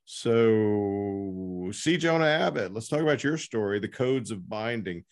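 A man hosting a casual interview, talking in a halting, informal way. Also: lowish voice, lively intonation. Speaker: podcast host interviewing an author.

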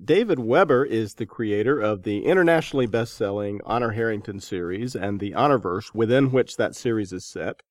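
A man with a lowish voice hosts a podcast interview. He moves between introductions and questions for his guests. The sound is dry, with very little room. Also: a little mellow, dry recording.

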